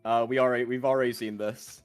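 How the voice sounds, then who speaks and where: Dude chatting along to a game stream, normal pitch, casual jokes and swearing, faint music in the back. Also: male voice, flat delivery, fast talker, clear, resonant tone. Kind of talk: casual playthrough banter.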